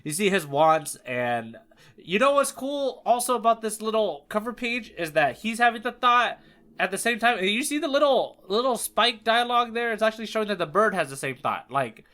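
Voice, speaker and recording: Bright-voiced man chatting on a podcast. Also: high-pitched, big pitch swings, moderate pace. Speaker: podcast co-host. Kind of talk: casual podcast chat.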